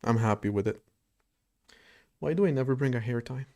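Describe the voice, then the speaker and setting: Guy on a coding livestream, kinda gravelly voice, rambling and reacting to chat. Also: medium pitch, brisk, dark tone. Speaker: live-streaming programmer.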